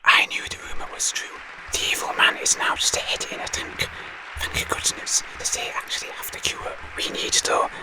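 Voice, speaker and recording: A man playing a wary infiltrator in an audio drama, speaking in a hesitant, secretive, breathy whisper. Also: moderate pace, close to an everyday voice, low-quality recording. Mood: uneasy.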